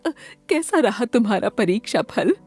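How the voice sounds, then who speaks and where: A woman voicing a gentle, doting grandmother who coaxes a child affectionately in a children's cartoon story. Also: fairly high pitch, sing-song, normal speed, breathy edge, faint music behind, full character voice. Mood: coaxing.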